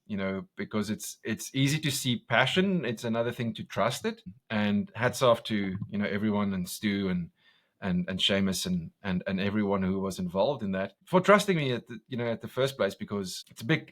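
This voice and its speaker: Man, afrikaans accent, south african, loud, intense, passionate, repeats himself. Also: fairly low pitch. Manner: intense, passionate, talks loud, many restarts. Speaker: commercial director, guest. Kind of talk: podcast interview.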